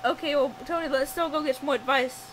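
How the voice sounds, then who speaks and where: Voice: sits high, steady tone, fast, noisy background, close to an everyday voice. A performer playing a patient, polite friend in a comedic puppet show, coaxing and placating the others. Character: patient, polite friend Mario, amused sidekick leader.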